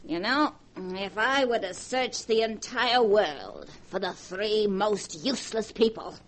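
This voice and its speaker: A woman's voice: mid-range voice, takes it slowly. Scornful old grandmother, dry, sarcastic, cutting, 1940s radio play. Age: older. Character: dying, sharp-tongued old grandmother, rich and scornful.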